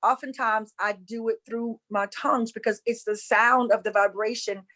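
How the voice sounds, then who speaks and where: A woman's voice: on the high side, steady tone, normal speed, bright, forceful tone. A woman from North Carolina with a Southern accent, teaching about spirituality casually and earnestly, repeating phrases for emphasis. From North Carolina, USA.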